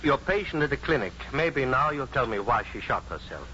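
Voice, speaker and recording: An actor playing a blunt, worldly musician friend, wry and emphatic, in a 1950s radio drama. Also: male voice, mid-range voice, very animated, brisk, sharp tone. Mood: wry, challenging. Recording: muffled, hissy 1950s radio recording.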